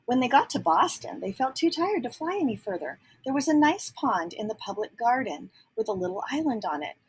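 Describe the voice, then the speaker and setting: A woman reading a children's storybook aloud expressively, pausing to add simple explanatory asides. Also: a little high, very animated, a little hiss or hum, low-quality recording. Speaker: storybook reader.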